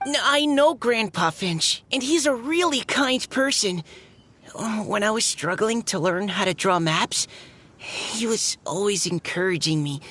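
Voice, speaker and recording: A young woman playing an earnest, kindly, excitable mapmaker in a video game, speaking in a heartfelt way. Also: fairly low pitch, husky. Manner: heartfelt, stammers when flustered. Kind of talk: scripted video game voice acting.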